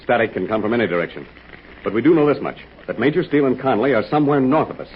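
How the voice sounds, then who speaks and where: An actor playing a calm, heroic adult aviator captain who explains things steadily, in 1940s radio acting style. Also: male voice, mid-range voice, very fast, natural voice. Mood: steady. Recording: muffled old radio with faint hiss.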